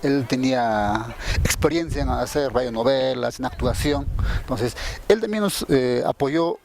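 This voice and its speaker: A Peruvian man talks spontaneously about his production work in an average-pitched voice. He keeps checking agreement with little tag questions. Light background noise sits under the recording. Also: unhurried, gravelly. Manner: frequent "¿no?" tags seeking agreement. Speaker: radio drama producer. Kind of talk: spontaneous spoken account of a production.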